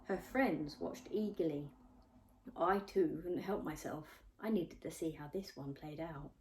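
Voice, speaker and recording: A woman's voice: low voice, unhurried, a little mellow. A woman narrating her own novel in the first person, reading expressively like an audiobook.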